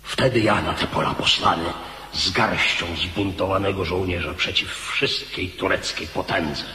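A man's voice: deep voice, measured pace, sharp tone. A man playing a weary old military commander in a radio play, solemn and declamatory. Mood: grave, troubled. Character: weary old hetman commander pleading before his king. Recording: hall-like reverb, noise and hum.

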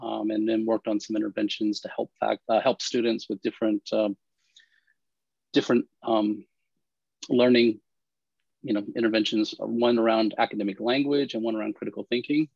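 A man on a panel video call, talking haltingly with many fillers and restarts. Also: fairly low pitch, little pitch movement, gravelly, a little mellow, slightly rough, no room sound. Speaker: panelist, English lecturer. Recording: dull, dry video call audio.

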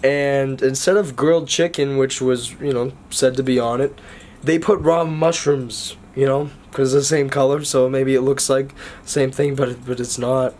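Young male vlogger, mid-pitched, slightly lost voice, casual joking ramble. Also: fairly even intonation, moderate pace, darkish tone. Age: young adult. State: slightly lost voice after illness. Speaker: vlogger, college student. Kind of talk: casual vlog chat to camera. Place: small dorm room, indoors. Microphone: handheld camera at arm's length.

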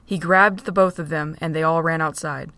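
Steady woman narrating a fan drama. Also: low-pitched, moderate pace, no room sound. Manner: steady reading. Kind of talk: storybook narration.

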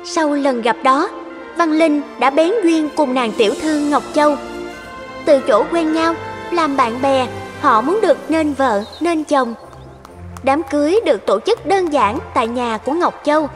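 A woman narrates an animated fairy tale from a script. Her voice is very high, and music runs clearly underneath. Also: unhurried.

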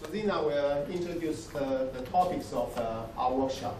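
A man opening a training workshop, speaking haltingly with many fillers into a handheld microphone in a conference room. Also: fairly high pitch. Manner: gestures while speaking, halting and repetitive. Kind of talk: hesitant spoken welcome with fillers. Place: large conference room with projector screens. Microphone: handheld microphone, recorded by a far camera. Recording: echoey room from far off, noisy.

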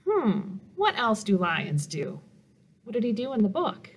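A middle-aged woman, a children's librarian reading a picture book aloud in simple, deliberate phrases for young listeners. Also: on the high side, very animated. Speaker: children's librarian and storytime host. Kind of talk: children's storybook read-aloud. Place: small office room indoors.

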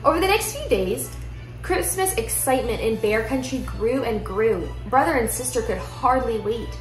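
A young woman reads a children's picture book aloud with expressive storytelling in a living room. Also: on the high side, normal speed. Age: young adult. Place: indoor living room at home. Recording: roomy echo, music behind.

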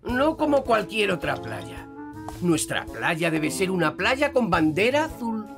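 A man's voice: high-pitched, very animated, unhurried, vocal fry, exaggerated voice. A prim, pompous older official in a cartoon, fussing in Castilian Spanish. His intonation swoops dramatically, with music playing behind. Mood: pompous, fussy. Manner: pompous, fussy. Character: fussy older male town official, prim and flustered. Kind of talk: animated children's series dubbing.

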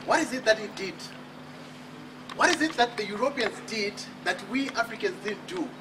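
A man's voice: sits high, lively intonation, normal speed. A man from Zimbabwe in his thirties giving an emphatic motivational speech at a book launch, posing rhetorical questions. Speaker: author and speaker. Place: outdoor terrace at night. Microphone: far camera. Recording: distant camera with outdoor noise.

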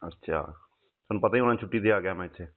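A man speaks in a deep voice at a brisk clip. He chats casually and intimately over a lo-fi phone line. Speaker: soldier on leave, phone caller. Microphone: phone call. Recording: muffled lo-fi phone call recording.

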